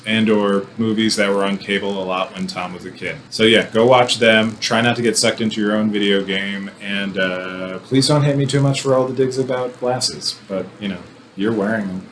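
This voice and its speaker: A man's voice: on the low side, moderate pace, low-quality recording. Rambling, wry man over noticeable background noise. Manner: rambling, wry, animated asides. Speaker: film review podcast host. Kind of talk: casual movie commentary monologue.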